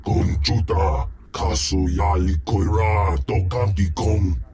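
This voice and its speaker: A man voicing a huge, imperious alien crime king in a video game, pompous and booming, speaking an invented alien tongue. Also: slow, a loud crowd. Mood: irritated, commanding.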